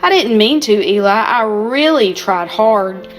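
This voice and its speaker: A woman's voice: medium pitch, lively intonation, a little slow, faint music behind, close to an everyday voice. An older woman voicing a small, timid wooden boy puppet who sounds meek and unsure. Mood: downcast.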